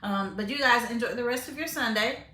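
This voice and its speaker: A woman's voice: on the high side, brisk, sharp tone, echoey room. A woman vlogging casually about grocery finds, chatty with fillers, tasting food as she talks. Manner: chatty, frequent fillers and restarts; eats while talking, tasting food on camera. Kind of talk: casual chat to camera.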